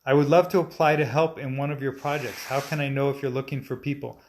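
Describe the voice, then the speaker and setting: A man in his forties, a stop-motion animator, answering viewer questions in a relaxed, chatty way with frequent fillers and restarts. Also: mid-range voice, fast, a little hiss or hum. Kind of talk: casual live-stream Q&A, reading viewer comments aloud.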